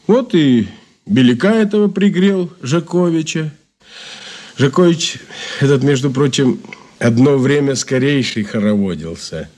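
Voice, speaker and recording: Casting: folksy ex-anarchist informant, wry, colloquial, animated mid-range male voice, radio play. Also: mid-range voice. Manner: colloquial, halting with filler sounds. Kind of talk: Soviet radio drama acting.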